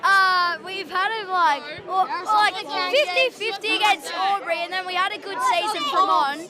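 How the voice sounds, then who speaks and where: A woman's voice: extremely high pitch, moderate pace, a loud crowd. A woman football fan speaking hesitantly with many fillers.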